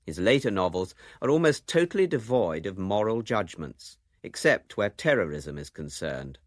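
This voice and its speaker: A man narrates a radio documentary from a script. His voice is low and sits close and dry, and his intonation moves around freely. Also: big pitch swings, normal speed, no room sound.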